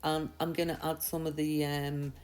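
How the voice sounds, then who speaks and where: A woman with a slightly croaky voice from a mild sore throat, giving a chatty, reassuring, step-by-step tutorial. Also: deep voice, flat delivery, moderate pace, darkish tone, faint music behind. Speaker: nail art tutorial host. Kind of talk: casual step-by-step tutorial voice-over.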